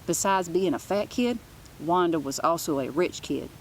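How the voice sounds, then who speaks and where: A woman's voice: lowish voice, animated, moderate pace, slight background noise, rough recording. A woman reading a children's book aloud as a storyteller, in an informal manner. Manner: informal, with asides.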